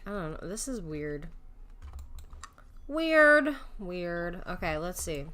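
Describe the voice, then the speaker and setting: Bright-voiced woman streaming a video game. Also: on the low side, big pitch swings, takes it slowly, a little hiss or hum. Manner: chatty, thinks aloud, self-mocking. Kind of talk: casual live gameplay commentary.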